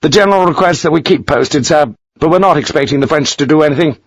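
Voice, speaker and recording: A man's voice: fairly high pitch, measured pace, slightly rough, no echo, pitched above the performer's own voice. A man voicing a bored young cavalry lieutenant who speaks in a languid, offhand way.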